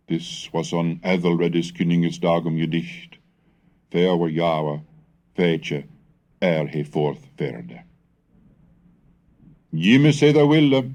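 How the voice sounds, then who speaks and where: A man giving a formal, measured scripted reading, declamatory, in the style of an educational recitation of old texts. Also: lowish voice, a little mellow. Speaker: reader and narrator of a language history recording.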